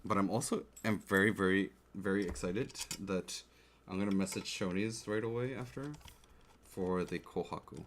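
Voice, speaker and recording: Chatty man with a lowish voice. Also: normal speed. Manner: chatty, checks in with viewers often. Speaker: livestreamer building a keyboard. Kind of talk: casual livestream chat. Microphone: desk mic close to the keyboard. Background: faint keyboard clicks and typing.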